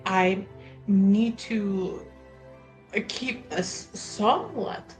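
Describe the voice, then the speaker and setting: A woman playing a tabletop roleplaying game, halting and informal as she narrates actions and improvises dialogue. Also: medium pitch, expressive, soft background music, low-quality recording. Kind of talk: casual narration of her character's actions mixed with improvised dialogue.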